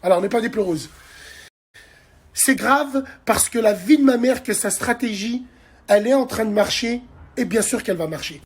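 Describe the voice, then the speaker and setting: A man in his forties with a husky, slightly breathy voice that sits a little high for a man. He rants into his phone from a dark bedroom at night. He is crude and heated, and he keeps turning to his viewers to lecture them. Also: moderate pace. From France. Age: 40s. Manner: heated, crude and insulting, addresses viewers directly. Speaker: social media commentator. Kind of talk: angry political rant to camera. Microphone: phone held at arm's length.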